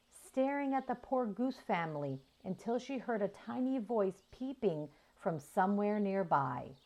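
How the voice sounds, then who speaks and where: A woman reading a children's story aloud in an expressive storytelling style. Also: medium pitch. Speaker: children's audiobook reader.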